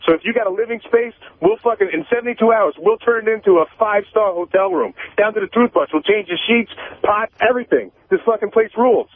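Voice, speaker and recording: A man's voice: sits high, quick pace, dry recording. A man phoning into a radio show, chatting casually with lots of swearing, stammering and defensive. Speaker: phone-in guest and former show staffer. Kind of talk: casual profane chat. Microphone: phone. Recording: tinny, narrow phone line.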